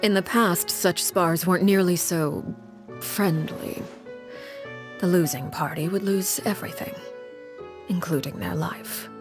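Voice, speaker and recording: An actress playing a composed, calculating woman in command in a video game, speaking in a measured, formal, cool way. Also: fairly low pitch, over music, close to an everyday voice.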